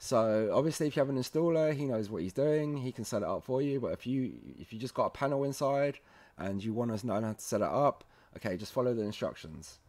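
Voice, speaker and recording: A man's voice: medium pitch, lively intonation, quick pace, darkish tone. A man presenting a casual how-to demonstration, speaking informally and in a friendly way to viewers. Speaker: presenter of a product tutorial series.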